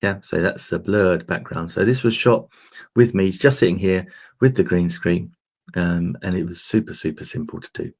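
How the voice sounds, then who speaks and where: A man with a very low, gravelly voice walks viewers through a software demo in a loose, chatty way, full of fillers and restarts. His tone is a bit husky and a little croaky, and he talks into a desk microphone. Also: normal speed. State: slightly croaky voice. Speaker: host demonstrating streaming gear. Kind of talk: casual tech demo talk.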